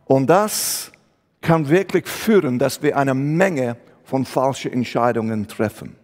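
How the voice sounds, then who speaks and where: A man's voice: medium pitch, takes it slowly, a little mellow. A man from England with an English accent preaching a sermon in German, searching for words and mixing in English, in a church hall. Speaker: guest preacher.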